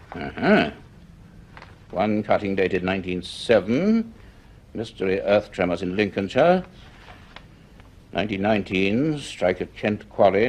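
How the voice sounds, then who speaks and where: A male actor with a British accent playing an earnest university scientist in a radio serial, speaking in a measured, precise and formal way. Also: fairly low pitch. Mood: grave reading. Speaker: actor, lead and narrator.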